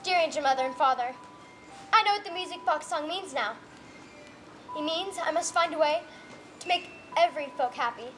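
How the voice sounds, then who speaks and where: A woman's voice: high-pitched, moderate pace, pauses often, fairly bright tone, faint music behind. A young female performer playing an earnest, fanciful orphan girl in a stage play, with quaint, wide-eyed phrasing. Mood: fervent, hopeful. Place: stage in a roomy hall. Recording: noisy, echoey stage performance recording.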